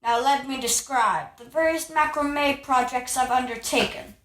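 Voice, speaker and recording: A woman's voice: high voice, measured pace, fairly bright tone. A woman playing a fussy, gushing, chatty investigator with an affected manner in a comic radio play. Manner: affected, effusive. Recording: echoey room.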